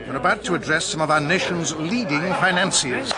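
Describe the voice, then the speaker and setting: An actor playing a pompous, exasperated British bank governor in a radio drama, formal and indignant in manner. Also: male voice, fairly high pitch, lively intonation, brisk, a loud crowd, lightly acted.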